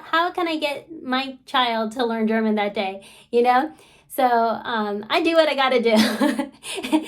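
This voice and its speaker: A woman hosting her own channel, talking casually to camera in a chatty way, with lots of ums and you-knows. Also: sits high. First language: English. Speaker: channel host.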